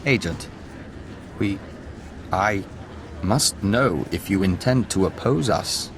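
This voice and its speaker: An actor playing a calm emissary of an insect hive in a video game, speaking formally and with detachment, as a collective "we". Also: male voice, low-pitched, takes it slowly, noisy background, full character voice. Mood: insistent.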